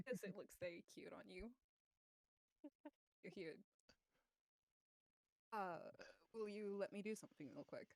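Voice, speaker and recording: A woman's voice: medium pitch, slow, pauses often, darkish tone, no room sound, low-quality recording. A woman chatting casually as a player in a tabletop roleplaying game.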